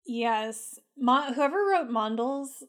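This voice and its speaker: Chatty woman co-host, higher voice. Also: takes it slowly, a bit bright. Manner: thoughtful, many "like" fillers. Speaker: podcast co-host. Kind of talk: casual analytical chat.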